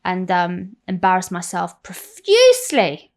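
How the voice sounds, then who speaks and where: A woman in her thirties with an English accent, a guest on a podcast who tells stories about her life in an animated, swearing, self-mocking way. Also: on the low side, unhurried. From South London. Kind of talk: candid interview chat.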